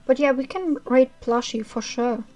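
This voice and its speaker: A woman streamer chatting casually with her viewers as she wraps up a livestream, rambling with fillers and a self-described monotonous voice. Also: high voice, moderate pace, soft background music. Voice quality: monotonous reading voice. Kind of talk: casual chat ending a livestream.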